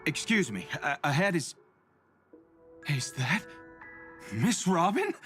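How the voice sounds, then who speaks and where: Jittery, starstruck underling: stammering, deferential, very animated pitch, game dialogue over music. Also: male voice, slow, exaggerated voice. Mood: starstruck, flustered. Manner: flustered, hesitant, stammering, deferential. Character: nervous, starstruck young male family underling, deferential. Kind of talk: video game voice acting.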